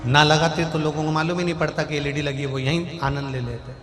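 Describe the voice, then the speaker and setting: Indian male preacher, higher-pitched voice, live sermon with long reverb and music behind. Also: fairly even intonation, a little fast, fairly bright tone. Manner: rises and falls, urges the crowd to answer back. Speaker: preacher telling a Shiva scripture story. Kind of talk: devotional sermon to a large crowd. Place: large venue with a crowd and speakers.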